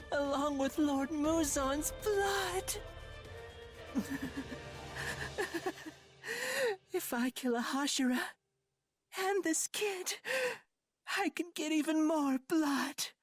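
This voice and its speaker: An actor voicing a dreamy, sadistic demon in an anime game, speaking with languid, polite delight. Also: high-pitched. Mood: ecstatic. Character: dreamy, sadistic, softly gloating demon who savors despair. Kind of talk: theatrical anime game voice acting. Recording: echoey hall reverb, music behind.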